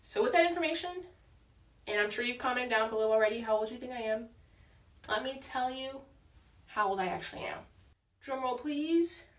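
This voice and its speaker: A woman's voice: on the high side, expressive, moderate pace, long pauses. A woman of twenty-one talking casually to her viewers in a social media video. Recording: echoey room, far from mic.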